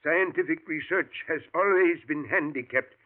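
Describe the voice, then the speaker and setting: Man doing a sweet old professor, kinda high voice, tinny radio sound. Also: moderate pace, lightly acted. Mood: earnest. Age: older. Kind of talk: 1940s radio acting.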